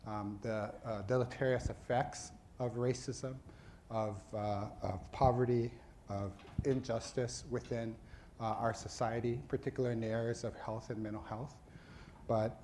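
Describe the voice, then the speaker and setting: A middle-aged man from Hawaii, a dean addressing his school's faculty in an earnest, halting way, with many fillers and restarts. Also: mid-range voice, a little mellow, a little hiss or hum. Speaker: dean of a social work school.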